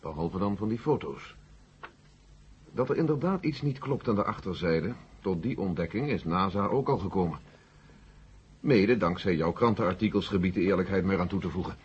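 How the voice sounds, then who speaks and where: Brusque general, dull old recording. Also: male voice, low voice, lively intonation, moderate pace. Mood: defensive, irritated. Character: brusque male American general in charge of space mission. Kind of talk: 1970s Dutch science fiction radio drama acting. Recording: dull, noisy vintage radio play recording.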